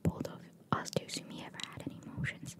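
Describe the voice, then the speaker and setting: Whispering woman, ASMR host. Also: fast. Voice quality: breathy, unvoiced whisper. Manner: casual, chatty, playfully opinionated. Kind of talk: whispered ASMR reading of trivia with casual asides.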